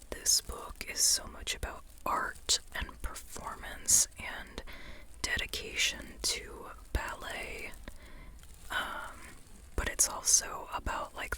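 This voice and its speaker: An ASMR host whispering in an informal, rambling way, softly and unhurriedly, often repeating phrases. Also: low-quality recording. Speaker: ASMR host reviewing a book.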